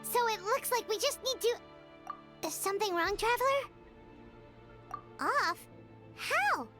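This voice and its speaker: A woman voicing a tiny, childlike fairy companion in a video game, squeaky, cheeky and bluntly excitable. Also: slow pace, soft background music, heavily acted. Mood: eager. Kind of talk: video game character acting.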